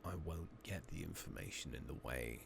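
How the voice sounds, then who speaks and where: A British man giving soft-spoken, partly whispered ASMR commentary on a video game, muttering casually to himself on a close microphone. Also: very deep voice, lively intonation, vocal fry. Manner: casual, unhurried, muttering to himself, mild swearing. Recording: noisy mic with keyboard clicks.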